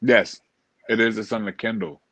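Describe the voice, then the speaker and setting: An American man chatting casually and earnestly as an interview guest, informal and a little halting. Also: fairly low pitch, fairly even intonation, background noise. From Springfield, Massachusetts, USA. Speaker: interview guest, motivational speaker.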